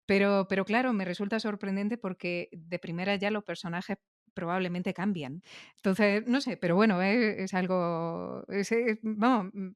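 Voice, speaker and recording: A woman's voice: medium pitch, steady tone, moderate pace, no room sound, studio quality. A woman with a Peninsular Spanish accent hosting a conversational interview, chatty and musing aloud with frequent tag questions. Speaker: podcast interviewer, host.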